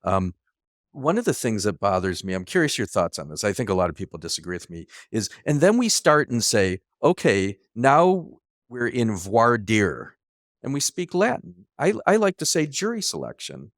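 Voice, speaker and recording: Lively low-voiced male interviewer. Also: moderate pace, no echo, studio quality. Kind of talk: casual interview chat.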